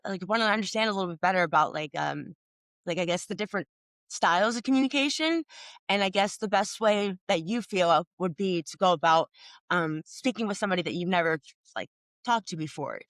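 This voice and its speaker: A woman's voice: mid-range voice, animated, brisk, a bit bright, no echo. Woman hosting a podcast, mid voice, dry close mic, kinda searching for words. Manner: hesitant, lots of "like" and restarts, laughs easily. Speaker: podcast host. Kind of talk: conversational interview.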